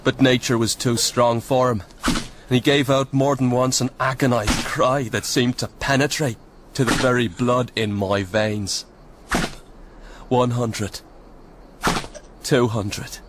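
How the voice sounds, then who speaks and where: An actor playing a weary, sombre soldier in a radio drama, speaking gravely and with measured, old-fashioned phrasing. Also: male voice, medium pitch, fairly even intonation, background noise, natural voice. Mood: grave, haunted. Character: sombre Irish soldier sergeant, weary eyewitness storyteller. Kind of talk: radio drama acting and narration.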